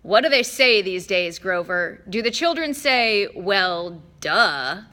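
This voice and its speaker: A bored, haughty male god of wine whines and pouts like a sulky child, as a woman puts him on. The voice is loud and high, with a bright edge, swinging up and down in mock outrage. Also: animated, takes it slowly. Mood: sarcastic. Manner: whiny, pouting, theatrical.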